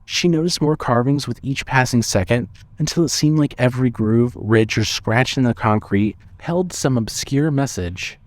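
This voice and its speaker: A man narrating a scripted horror audio drama in a measured, deliberate storytelling manner. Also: medium pitch, dry recording.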